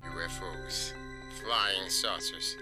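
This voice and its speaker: An actor voicing a grandiose cartoon TV host with a ponderous, theatrical manner. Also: male voice, deep voice, takes it slowly, over music. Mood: ominous. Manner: ponderous, dramatic. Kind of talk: theatrical documentary-style cartoon narration.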